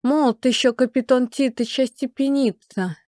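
A woman's voice: high voice, moderate pace, a little mellow, dry recording, studio quality, natural voice. A woman playing a scheming, vengeful merchant's wife in an audiobook drama. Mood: feigned sympathy.

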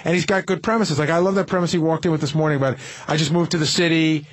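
A man's voice: a little high, steady tone, rapid-fire. A man on a radio panel, talking casually and rambling with repeated phrases. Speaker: radio show staffer and panelist. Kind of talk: casual discussion.

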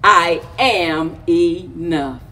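A middle-aged woman with a slightly husky voice reads a children's picture book aloud expressively, phrasing deliberately with pauses between short lines. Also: lowish voice, pushed and bright. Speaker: library story time reader. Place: indoors, in front of a library chalkboard wall. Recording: distant camera microphone.

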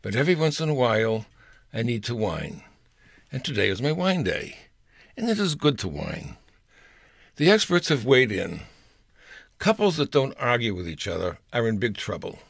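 A man's voice: mid-range voice, sing-song, moderate pace, vocal fry, long pauses, husky, no echo, clean studio sound. An older man reading his own humorous personal story aloud in a wry, storytelling manner. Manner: wry storyteller with playful voices.

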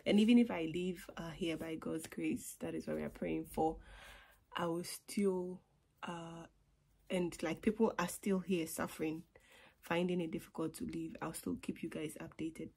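A woman's voice: lowish voice, mellow, breathy edge. A young woman, talking casually to a phone camera in her small bedroom, sometimes sinking to a whisper. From Ghana. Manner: chatty, drops to a whisper at times, laughs between points. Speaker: vlogger. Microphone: phone camera on a table.

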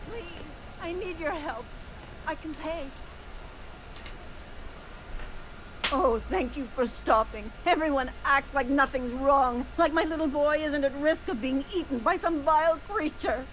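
A woman's voice: extremely high pitch, a bit bright, a little hiss or hum, low-quality recording. A woman playing a frantic, overprotective frontier mother in a video game, folksy and melodramatic. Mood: desperate pleading. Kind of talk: video game character dialogue.

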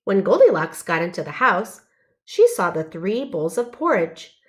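Woman, storyteller, lively varied intonation, careful expressive children's narration. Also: medium pitch, moderate pace.

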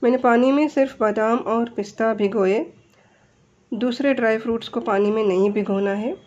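A woman's voice: a little high, steady tone, normal speed, darkish tone, muffled recording. A woman with an Indian accent gives step-by-step instructions as a home cook in a recipe voice-over. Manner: explanatory, step-by-step.

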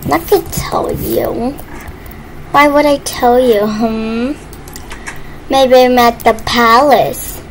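A woman's voice: a little high, steady tone, takes it slowly, long pauses, rough recording. A woman chatting casually while playing a video game. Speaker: gamer playing a role-play game. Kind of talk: casual gameplay chat. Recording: faint background noise.

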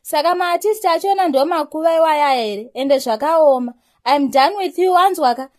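Comedy cartoon girlfriend, female actor: young, sassy Zimbabwean, quick to snap, high-pitched. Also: a little mellow, lightly acted. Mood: angry.

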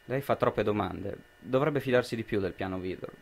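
A man's voice: fairly low pitch, moderate pace, vocal fry. A young man playing a brusque, officious expert in an amateur radio drama, clipped and formal. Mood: stern, impatient. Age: young adult. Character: brusque, officious male expert sent by an authoritarian regime.

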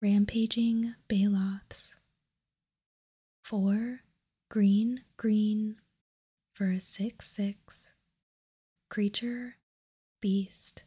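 A woman whispering in a breathy, calming, measured way, as an ASMR host reading out and commenting on trading cards. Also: medium pitch. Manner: soft, slow, measured, calming. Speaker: host of a card ASMR channel.

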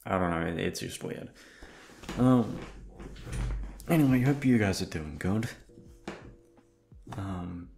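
A man's voice: sits low, animated, slow pace, creaky, pauses often, a little mellow, slight background noise. A man chatting casually to his listeners as a voice actor, in an informal, rambling way with some swearing. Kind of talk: casual chat.

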